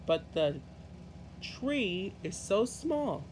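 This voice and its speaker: Give me a man reading as a curious, mighty emperor from a storybook, very animated and questioning, faint rumble behind. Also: very slow, heavily acted, rough recording. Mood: astonished. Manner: questioning, emphatic.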